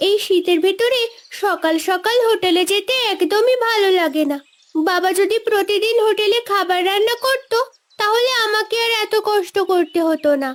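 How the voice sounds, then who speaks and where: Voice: extremely high pitch, normal speed. An actor voicing a young girl, a dutiful daughter, in a cartoon with childlike delivery. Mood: grumbling. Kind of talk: cartoon voice acting.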